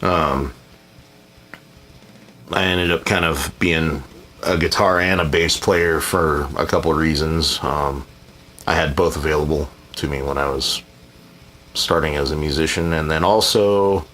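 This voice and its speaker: A man's voice: extremely low pitch, a bit bright, slight background noise. A man answering viewer questions in a casual, rambling chat, laid-back and frequently hedging, now and then blunt and profane.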